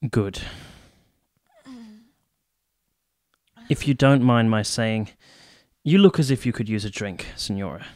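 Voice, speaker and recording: A man acting a courteous, reserved young army captain in a radio play, speaking in a formal, measured, literary way. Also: on the low side, a little mellow, close to an everyday voice. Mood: polite, guarded. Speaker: actor and narrator in a radio play. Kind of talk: literary first-person narration and radio drama dialogue.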